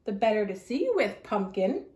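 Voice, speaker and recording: A woman's voice: mid-range voice, very animated, moderate pace, warm tone, roomy sound, lightly acted. A woman voicing a sly, predatory male cowboy wolf who drawls pet names in a children's story. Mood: falsely sweet.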